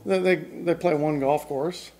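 A man's voice: on the high side, fairly even intonation, measured pace, darkish tone. A middle-aged man hosting a golf show, casually explaining putting with analogies and frequent restarts, in a small studio. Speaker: golf instructor and show host. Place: small studio set with a backdrop.

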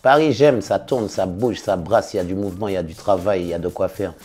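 A man in his fifties, a singer and DJ, answering interview questions in a relaxed, casual way, full of slangy shout-outs. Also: low voice, fast, music in the background. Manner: relaxed, laid-back, with slangy big-ups. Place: studio in front of blue backdrop.

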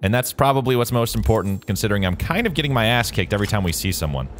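Low, lively American male gamer. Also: racing, noisy background. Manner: jokey, slangy, talking to the game. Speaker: gaming video host. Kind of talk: casual gameplay commentary. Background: game music and vehicle sounds.

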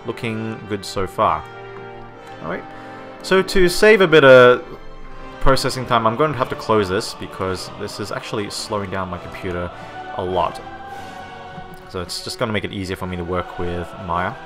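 A man with a stuffy hay-fever voice, talking through a game-art tutorial while he works, rambling and correcting himself often. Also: lowish voice. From Australia. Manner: rambling, self-correcting, frequent "okay?" and "um". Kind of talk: screen-recorded tutorial narration. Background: soft background music.

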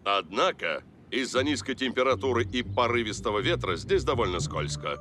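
A man voicing a serious, steady football coach in a dubbed cartoon, instructive and matter-of-fact. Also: medium pitch, normal speed, background noise, natural voice.

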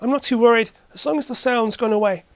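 Casual tech hobbyist host, mid pitch, halting delivery, matter-of-fact, thinking aloud through a demo. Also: no echo. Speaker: host, retro computer hobbyist. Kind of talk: casual hands-on demonstration. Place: indoor cluttered workshop.

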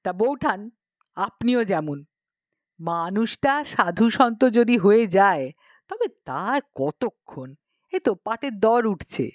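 A woman voicing a village uncle in a storybook reading, smooth-talking, chiding and persuasive in colloquial speech. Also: medium pitch, big pitch swings, slow. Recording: muffled lo-fi, roomy echo.

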